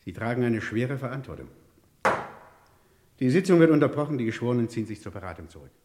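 An actor playing a grave, formal judge in a 1960s radio crime drama, speaking in solemn declamation. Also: male voice, mid-range voice, sing-song, fast, very noisy, close to an everyday voice. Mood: brisk, formal. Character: grave, formal male judge instructing a jury. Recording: old radio play, long hall reverb.

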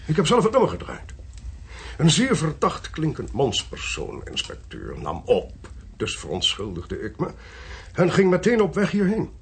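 A suave, sly official in a radio play. His voice is very husky and gravelly, and he talks in a wordy, theatrical way with wide swings of pitch. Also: huge pitch swings, normal speed, heavily acted. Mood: expository, intrigued. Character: suave, talkative male official, politely sly and self-possessed. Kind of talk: radio drama acting.

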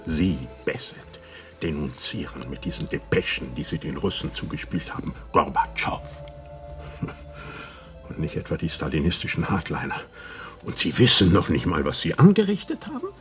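Deep, stern intelligence interrogator. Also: male voice, very animated, fairly bright tone, faint music behind, exaggerated voice. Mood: accusing. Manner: confrontational, deliberate. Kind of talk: radio drama acting.

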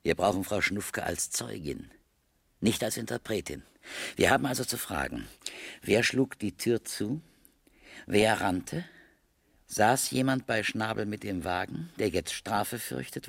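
An actor playing a measured, sceptical senior police detective in a radio crime drama from the 1970s. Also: male voice, on the low side, expressive, vocal fry, fairly bright tone, full character voice. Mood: measured, probing.

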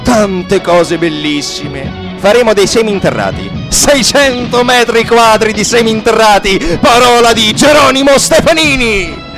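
A pompous mafia boss posing as a great chief in a radio satire. His high male voice declaims slowly and grandly over music. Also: big pitch swings. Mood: pompous, solemn. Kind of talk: satirical radio theatre speech.

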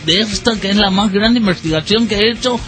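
Male comedy radio columnist, high-pitched voice, mock-serious storytelling, music underneath. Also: a little fast, a bit bright. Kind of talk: comic banter and anecdote telling.

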